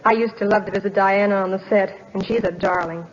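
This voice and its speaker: A woman's voice: mid-range voice, steady tone, a little fast. A woman in her twenties giving scripted promotional chat as a 1940s radio guest. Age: 20s. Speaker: actress guest endorsing soap. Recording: dull old radio broadcast.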